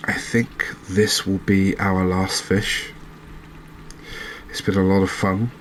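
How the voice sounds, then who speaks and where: A man giving soft-spoken, unhurried ASMR commentary as he plays a game, musing aloud to his viewers. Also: sits low, level tone, a little hiss or hum. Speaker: game streamer, host.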